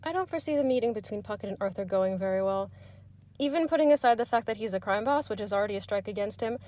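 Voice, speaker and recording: A woman's voice: mid-range voice, lively intonation, fast talker, darkish tone. A woman giving prepared, articulate commentary as a listener's recorded submission to a podcast.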